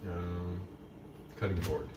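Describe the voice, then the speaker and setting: A man's voice: deep voice, level tone, slow pace. A man casually chatting on a live stream while preparing food in his kitchen, rambling to himself and dropping in Spanish words. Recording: distant mic with noticeable background noise.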